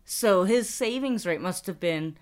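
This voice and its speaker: A woman's voice: medium pitch, animated, unhurried. A middle-aged woman co-hosting a podcast, chatting casually, laughing often and restarting her sentences. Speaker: podcast co-host. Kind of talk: casual chat.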